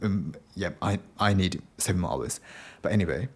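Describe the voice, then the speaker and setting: A Japanese man speaking English with a Japanese accent over a British base, talking alone on his daily podcast in a halting way, often restarting and repeating phrases. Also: low voice, a little mellow, slightly rough. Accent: Japanese-accented English aiming at British. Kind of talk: daily solo podcast talk.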